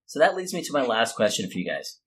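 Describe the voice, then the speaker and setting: A man with a husky voice, casually interviewing as a podcast host. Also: medium pitch, sing-song, quick pace, fairly bright tone.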